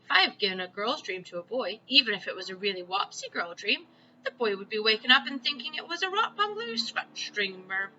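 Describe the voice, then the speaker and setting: A woman voicing a huge, gentle, kindly male storybook giant who explains things in muddled, folksy grammar. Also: on the high side, sing-song, highs cut off, voice pushed up, exaggerated voice. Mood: explaining. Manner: folksy, ungrammatical, unhurried explaining.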